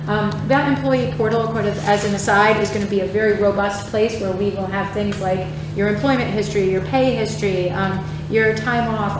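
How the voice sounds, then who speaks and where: A woman school administrator presenting at a board meeting without a microphone, speaking up loudly and chatting with easy laughs. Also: medium pitch. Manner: loud, chatty, laughs easily. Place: large meeting room. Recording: echoey meeting room from far off, hum.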